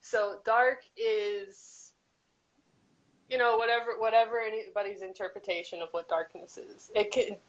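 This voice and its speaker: A woman guest artist with a deep voice, musing in a meandering casual chat on a live video call. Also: fairly even intonation, unhurried, muffled recording. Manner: reflective, meandering, with frequent "like" and "you know". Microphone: live-stream call.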